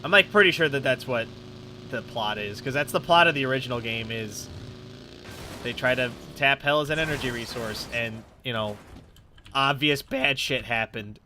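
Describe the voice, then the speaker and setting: Guy gamer doing lets play chatter, bright punchy voice, bit stuffed up, rambles and swears. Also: medium pitch, moderate pace, slight background noise. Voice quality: slightly stuffed-up nose. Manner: rambling, thinking aloud. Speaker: gaming YouTuber. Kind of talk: casual let's play commentary, swearing. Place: his room at home.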